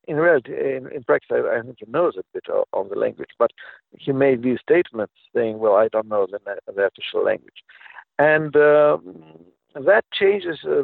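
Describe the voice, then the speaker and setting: Gravelly man on a tinny line. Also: medium pitch, no room sound. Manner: halting, many fillers and restarts. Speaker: political analyst guest. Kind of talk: interview answers.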